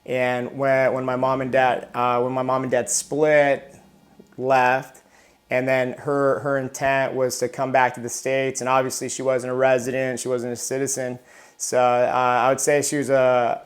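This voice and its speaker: A man in his thirties from Southern California, a retired Marine telling his life story in a casual interview, often restarting and repeating words. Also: medium pitch.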